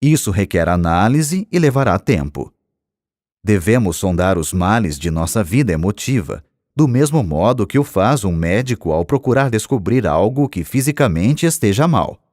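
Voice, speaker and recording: Brazilian guy reading an audiobook, low voice with lots of up and down, very dry sound. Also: big pitch swings, normal speed, darkish tone, dry recording. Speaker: audiobook narrator. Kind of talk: scripted audiobook narration.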